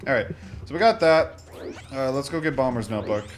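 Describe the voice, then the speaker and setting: A man's voice: mid-range voice, animated, a little fast. A man hosting a let's play with casual, rambling gameplay commentary, often interrupting himself with joking asides. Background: video game music.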